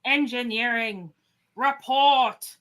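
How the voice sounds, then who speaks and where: A dignified starship captain, played by a woman in a sci-fi radio play. The voice is bright and fairly high, with unhurried, deliberate authority. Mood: commanding. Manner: deliberate, commanding. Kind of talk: radio play live read.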